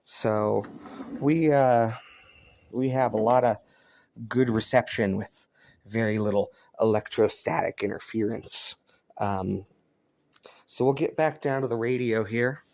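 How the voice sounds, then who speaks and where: A man from Colorado hosting a relaxed show-and-tell about his radio gear, easygoing and chatty with frequent ums, speaking indoors at home. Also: on the low side, a little slow, long pauses. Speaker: radio hobbyist channel host. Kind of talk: casual show-and-tell demonstration.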